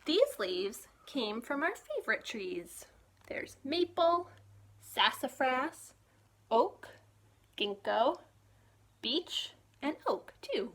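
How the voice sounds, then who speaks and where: A woman, a children's librarian, reads a picture book aloud to young children in an expressive storytime manner, putting questions to the listeners. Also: high voice, extremely slow.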